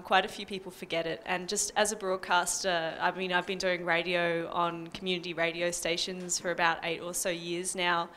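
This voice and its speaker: A woman's voice: fairly low pitch, bright, forceful tone. A young adult woman explaining practical tips as a panelist, speaking into a handheld microphone. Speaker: community radio broadcaster, panelist. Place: indoor panel event.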